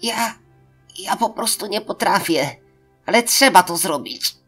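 A woman's voice: medium pitch, expressive, a little slow, a bit bright, slightly rough, faint music behind. Woman acting a breathless, desperate doctor. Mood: whimpering. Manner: breathless, whimpering. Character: distressed, desperate female doctor.

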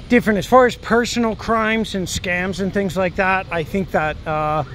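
Rambling man vlogging on a busy street. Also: sits high. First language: English. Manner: rambling, musing, frequent "right?". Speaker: travel vlogger. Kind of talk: casual walking commentary. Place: outdoors on city streets. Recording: outdoor street noise. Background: traffic and street noise.

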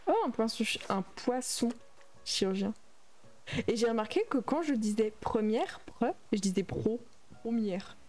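A woman's voice: on the high side, unhurried, a little mellow. A young adult woman streaming a game, chatting casually and reading and answering her viewers' messages aloud. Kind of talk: casual livestream chat while playing a game.

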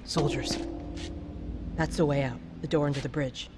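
An actress plays a middle-aged American woman leading rebels in a game drama. She speaks firmly and tersely, with tired authority, over clearly audible rumbling background noise. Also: fairly even intonation, normal speed, close to an everyday voice. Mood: weary, commanding. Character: weary, commanding female rebel militia leader, middle-aged. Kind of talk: dramatic video game acting. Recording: game cutscene with noticeable rumble.

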